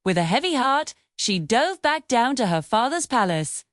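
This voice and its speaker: Female fairy tale narrator: highish, very expressive pitch, measured dramatic storytelling, dry studio-style sound. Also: dry recording.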